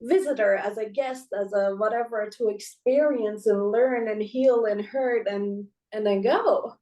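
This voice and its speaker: A woman co-hosting a podcast, speaking in an emphatic, heartfelt way with quick asides and a frequent "right?". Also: medium pitch, warm tone. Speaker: podcast co-host. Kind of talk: casual conversation.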